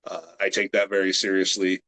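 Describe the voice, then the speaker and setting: A man in his late thirties, a grip strength athlete from the United States, chatting as a guest on a video call in a relaxed, rambling way with many fillers. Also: deep voice, a little fast. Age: 30s. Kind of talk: casual interview chat.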